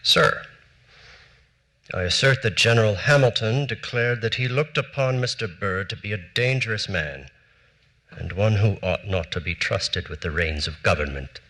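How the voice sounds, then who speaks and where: Brief: a cold, exacting period politician; crisp diction, sharp bright tone. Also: male voice, sits low, exaggerated voice. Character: formal, cold early American politician, man. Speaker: stage actor reading letters. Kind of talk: dramatic period letter reading. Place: large theater stage.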